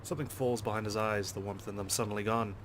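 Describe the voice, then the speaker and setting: A man reading visual novel narration aloud as a let's player, in an expressive storytelling style. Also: fairly low pitch, quick pace, a little hiss or hum.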